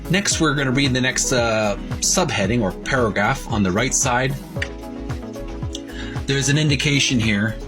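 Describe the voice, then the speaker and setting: A man presenting a book by reading its printed text aloud with commentary, in a halting, deliberate, itemizing way with pauses. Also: medium pitch, over music. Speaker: presenter of a retro video game book.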